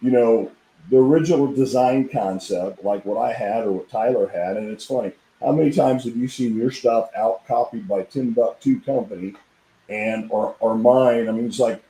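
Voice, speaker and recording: Hoarse, dark-voiced middle-aged man, guest on a podcast, rambling storyteller in an echoey room. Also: medium pitch, moderate pace. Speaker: podcast guest, equipment designer. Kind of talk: casual chat.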